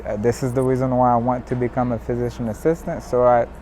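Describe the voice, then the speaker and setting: A man from Haiti gives a personal testimonial as a student in health care. His voice sits at an average pitch. Faint vehicle noise runs behind him. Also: level tone, fast, mellow. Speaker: physician assistant student.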